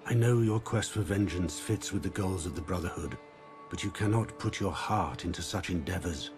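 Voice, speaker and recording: Man acting old master assassin guy from a game, very low calm voice, patient and deliberate, music underneath. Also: lightly acted. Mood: somber. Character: older male assassin master, patient, wise, cautioning mentor. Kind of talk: dramatic game cutscene dialogue.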